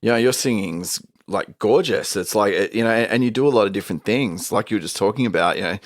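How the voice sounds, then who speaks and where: Deep-voiced chatty man. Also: quick pace, no room sound, clean studio sound. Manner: chatty, animated, full of fillers. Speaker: musician guest. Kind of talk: casual podcast interview.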